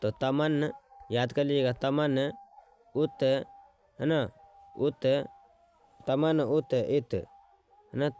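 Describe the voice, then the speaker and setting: A man teaching an exam-prep lesson, repeating words slowly as he writes them out and checking in with his students as he goes. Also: mid-range voice, fairly even intonation, mellow, clean tone. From Bihar.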